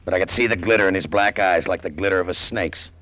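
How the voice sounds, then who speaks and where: A man's voice: low-pitched, quick pace, fairly bright tone, no room sound, rough recording. An American man playing a haunted, brooding cargo pilot and narrating his story in old-time radio drama style. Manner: clipped, brooding, hard-boiled. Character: tense, haunted hard-boiled cargo pilot, greedy and brooding. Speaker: actor narrating in character. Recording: vintage radio drama with music underneath.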